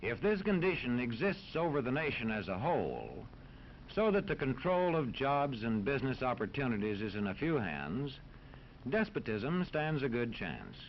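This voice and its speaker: American guy doing formal 40s educational film narration, mid pitch, muffled with a bit of hiss. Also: very animated. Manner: formal, authoritative, measured. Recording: dull 1940s film soundtrack, faint noise.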